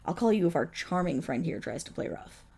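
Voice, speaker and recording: A suave villain boss, played by a woman, with a gravelly, breathy edge to every word. She purrs condescending endearments, and her pitch swoops and dips all over as she talks. The drama is told as a close, intimate ASMR roleplay. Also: lively intonation, fairly quick, warm tone, full character voice. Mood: menacing tenderness. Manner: silky, condescending, purring endearments. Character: suave, scheming villain boss, possessive and protective. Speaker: voice actor.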